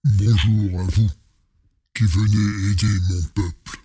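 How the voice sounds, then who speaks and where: A very dark, gravelly voice for a burly bear-like alien envoy, acted by a man. He speaks slowly and courteously, with grave weight and little melody, in a dry science-fiction audio drama. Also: lowish voice, flat delivery, dry recording. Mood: solemn greeting. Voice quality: gravelly, husky.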